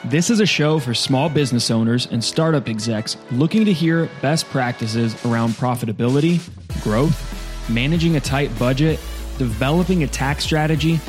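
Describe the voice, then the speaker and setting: A man reading a scripted podcast intro in a measured announcer delivery. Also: mid-range voice. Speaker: show announcer. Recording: voice over guitar music.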